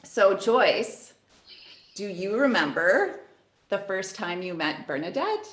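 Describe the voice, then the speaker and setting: A woman's voice: mid-range voice, very animated, takes it slowly, roomy sound. A woman hosting a casual video-call interview, asking friendly, encouraging questions. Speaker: host, pianist.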